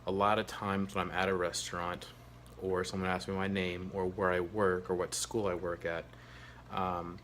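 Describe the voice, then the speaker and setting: A man, a teacher, telling his own story to the camera in a halting, earnest way, with a stutter and many ums and uhs. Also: low-pitched, flat delivery, fairly bright tone, slight background noise. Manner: earnest and open. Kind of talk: personal story told to camera.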